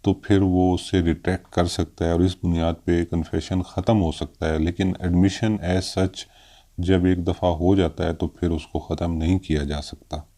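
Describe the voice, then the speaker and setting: A man with a Pakistani accent giving an explanatory legal lecture, haltingly and with frequent hesitations, mixing in English terms. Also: deep voice, warm tone. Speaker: law lecturer.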